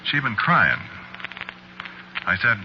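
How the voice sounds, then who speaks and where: An actor playing a shifty working-class American store clerk and cool killer, narrating in a folksy, slangy, confiding way in 1940s radio suspense style. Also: male voice, medium pitch, wildly swooping pitch, slow pace. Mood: casual, confiding. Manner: folksy, slangy, offhand storytelling. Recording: dull, noisy vintage radio recording.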